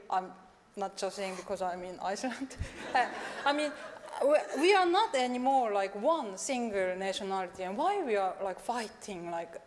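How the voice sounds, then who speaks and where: A woman from South Korea, a native Korean speaker, gives an informal design talk in animated, fragmented phrases. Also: mid-range voice. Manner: animated, fragmented, peppered with 'like'. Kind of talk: informal conference presentation. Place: large conference hall on stage. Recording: reverberant hall recording.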